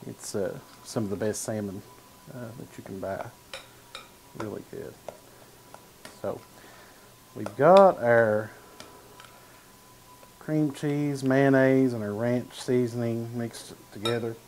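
Man, 30s, cooking show host; slow, relaxed demo patter, mid pitch, lapel mic in a studio kitchen. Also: slight background noise, poor audio. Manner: easygoing, talks while stirring and slicing, frequent "uh" and "gonna". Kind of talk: cooking demonstration. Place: home-style studio kitchen, indoors.

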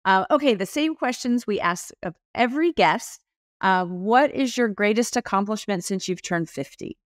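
A woman in her fifties hosts a podcast, asking her questions in an encouraging, affirming way. Her voice is mid-pitched, and it is recorded close and dry on a video call from a small room. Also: lively intonation, moderate pace, dry recording. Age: 50s. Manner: encouraging, brief affirmations while a guest speaks. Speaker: podcast host. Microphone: video call with a studio microphone.

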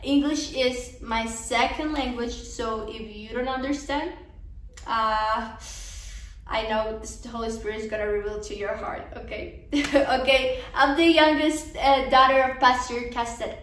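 A woman's voice: on the high side, fairly bright tone. A young woman, giving an earnest, encouraging devotional talk to a women's conference. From Colombia. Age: young adult. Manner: earnest and encouraging, some restarts. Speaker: conference speaker. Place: living room, seated on a sofa. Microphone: camera across the room. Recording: roomy, from a distance.

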